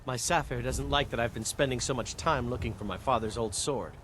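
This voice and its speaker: An actor playing a proud, guarded wandering warrior in a video game, speaking in a measured, plain way. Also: male voice, mid-range voice, a bit bright, a little hiss or hum, close to an everyday voice. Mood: guarded, earnest. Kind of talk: video game character dialogue.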